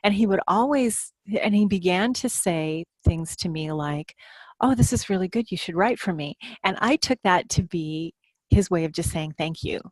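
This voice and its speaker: A woman's voice: lowish voice, lively intonation, moderate pace, no room sound. A woman author telling anecdotes in a casual podcast conversation.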